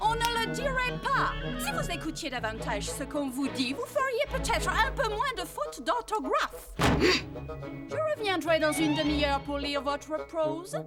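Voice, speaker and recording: A woman's voice: extremely high pitch, sing-song, a little slow, distant mic, over loud music, heavily acted. A woman playing a stern, prim, fault-finding governess in a French cartoon. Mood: strict. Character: strict, fussy, scolding governess. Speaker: governess and teacher. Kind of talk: French animated series dubbing.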